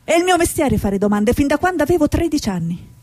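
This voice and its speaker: A woman's voice: high-pitched, very animated, quick pace, darkish tone, no room sound. A woman playing a stubborn, outspoken war correspondent in a stage drama, speaking in an earnest, insistent way. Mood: questioning, insistent. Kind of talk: stage drama acting with dramatic reportage monologue.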